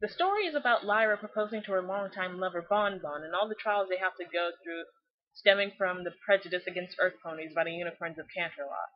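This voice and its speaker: A woman giving scripted but casual fan commentary in a blunt, slangy, opinionated way, with animated delivery. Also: fairly low pitch, fast talker. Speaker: fan video series host. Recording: slightly dull lo-fi recording.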